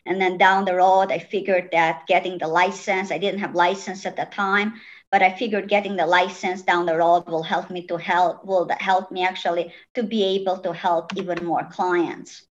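A woman's voice: fairly low pitch, moderate pace, lo-fi sound. A middle-aged woman with an Italian accent, a real estate agent answering interview questions at length with frequent fillers. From Italy. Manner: talkative, many "uh" fillers, animated.

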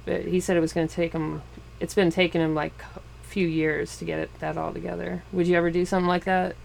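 Female interviewer, forties, low gravelly voice, chatty with frequent laughter. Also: little pitch movement, fast, a little mellow, low-quality recording. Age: 40s. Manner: chatty, laughs often, trailing fillers. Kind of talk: casual interview chat. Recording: faint background noise.

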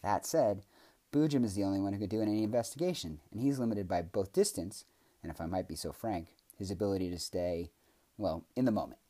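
A thoughtful young tree girl from a storybook who speaks in long, earnest, formal sentences, voiced by a man. Her voice sits low and mellow with a husky grain. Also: a little fast, natural voice. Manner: earnest, formal, wordy speech.

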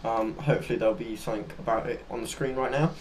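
A man's voice: mid-range voice, steady tone, quick pace, darkish tone. Man chatting informally, some background noise. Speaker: co-host. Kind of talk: casual discussion of current affairs.

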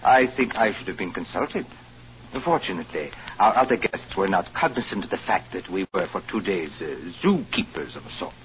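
Haughty hotel manager: deliberate, drily sarcastic, superior, very inflected, on a dull, faintly noisy old radio recording. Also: male voice, low voice, very animated. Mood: condescending. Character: haughty, condescending male hotel boss, testy and needling. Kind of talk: old-time radio drama acting.

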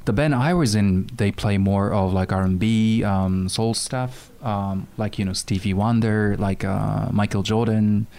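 A Japanese musician speaking English as a guest, hesitant and pausing often with ums as he searches for words. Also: on the low side, fairly even intonation, creaky, dark tone. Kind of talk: casual interview.

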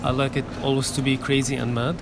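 A man's voice: medium pitch, little pitch movement, fast, gravelly. Earnest young man, traffic noise behind. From Syria. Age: 20s. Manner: earnest, hesitant with many fillers. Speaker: guest. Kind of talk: casual interview chat.

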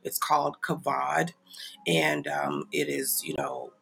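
A man's voice: medium pitch, huge pitch swings, slow pace, vocal fry, sharp tone, rough recording. A man with a congested, nasal voice talks casually and informally to followers as the host of an online prayer ministry livestream. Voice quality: nasal, stuffy. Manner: informal, apologetic, chuckling asides. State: congested from a stuffy nose.

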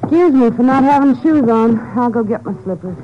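A woman's voice: on the high side, animated, fairly quick, music in the background, close to an everyday voice. A woman playing a tired, world-weary roadhouse showgirl in a 1950s radio drama, plain-spoken and wry. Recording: muffled old radio recording.

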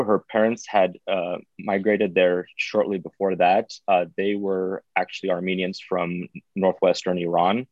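A man, talking as an interview guest in an unhurried way with frequent filler sounds. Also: low-pitched, no room sound. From born in Baku, raised in the United States. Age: 30s. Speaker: podcast guest. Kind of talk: casual interview. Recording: dull, dry sound.

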